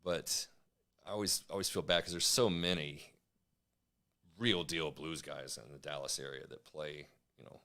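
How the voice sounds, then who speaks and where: A man's voice: extremely low pitch, fairly even intonation, normal speed, pushed and bright. A man, a musician guest talking in a laid-back, self-deprecating way. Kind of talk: casual interview chat.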